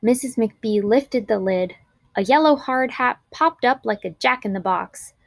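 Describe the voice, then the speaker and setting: Woman doing a picture book read aloud, expressive n clear wording, slightly high voice, very dry recording. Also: measured pace, dry recording. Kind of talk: children's storybook narration.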